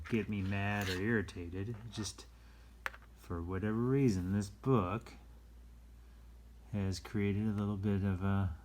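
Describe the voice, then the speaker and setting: A man's voice: on the low side, darkish tone, a little hiss or hum. A man hosting a read-aloud in a casual style, with easygoing, rambling explanatory asides. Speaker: storytime reader and host.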